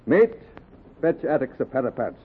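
Old sailing skipper, bossy but fair, swoopy delivery, tinny old radio play sound. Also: male voice, mid-range voice, sing-song, moderate pace, slightly rough. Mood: brisk, challenging. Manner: commanding, old-fashioned sailor speech. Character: brisk, fair-minded whaling ship skipper, loyal to crew. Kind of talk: historical radio drama acting. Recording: narrow phone-like old recording, light noise.

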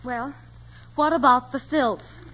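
Brief: loving, headstrong radio-play wife, high voice, earnest, old broadcast with faint hiss. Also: female voice, takes it slowly, pushed and bright, muffled recording, full character voice. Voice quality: earnest, emotional. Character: devoted young wife, emotional and stubborn. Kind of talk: 1940s radio acting.

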